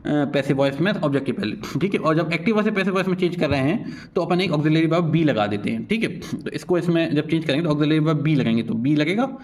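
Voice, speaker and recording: A man's voice: fairly high pitch, lively intonation, fast talker, a little mellow. A man teaching an informal grammar lesson, mixing in English terms and often adding short check-in tags after his points.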